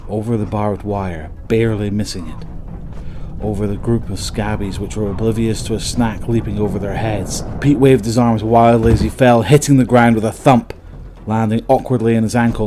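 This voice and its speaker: Low-voiced man narrating a story. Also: background noise. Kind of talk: scripted dramatic story narration.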